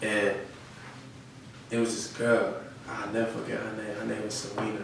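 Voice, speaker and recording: A young man chats casually in slang, as a guest being interviewed. His delivery stays fairly flat and gravelly. He is heard off the mic in a noisy room. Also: on the low side, normal speed, heavy reverb, recorded from a distance. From North Carolina, United States. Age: young adult. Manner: slangy, often checks with "you feel me".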